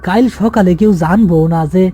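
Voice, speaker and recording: A man's voice: sits high, normal speed, warm tone, breathy edge, soft background music. A man voicing a frightened, superstitious young village helper in an anxious, pleading way with a rural Bangladeshi accent, in a horror story. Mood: fearful pleading. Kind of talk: audio horror story acting.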